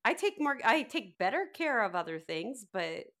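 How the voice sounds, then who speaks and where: A woman's voice: a little high, big pitch swings, normal speed, a bit bright. A middle-aged woman chatting casually as a podcast co-host, with a wry, joking, self-deprecating manner and casual swearing. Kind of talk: casual group chat.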